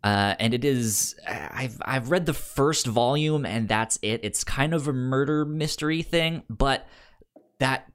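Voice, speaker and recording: A man talks slowly in a casual podcast chat. His delivery is halting, with a stammer that repeats opening sounds, and he keeps a conversational tone at an average male pitch. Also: a bit bright. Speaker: podcast co-host.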